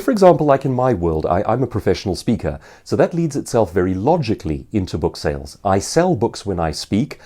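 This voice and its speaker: A man's voice: low voice, dark tone. A man with a South African accent giving an instructional talk to viewers, explaining points articulately and posing rhetorical questions. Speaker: author and professional speaker answering viewer questions.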